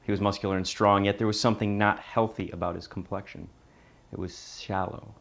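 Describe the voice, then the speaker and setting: A man's voice: fairly low pitch, flat delivery, brisk, fairly bright tone. A man reading literary narration aloud as an audition piece. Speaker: actor auditioning.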